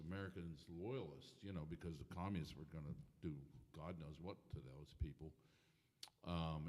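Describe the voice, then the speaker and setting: Older man with a very deep voice. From Pennsylvania. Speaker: panelist, former sportswriter. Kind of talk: personal anecdote on a panel. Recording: hall reverb from a lecture room.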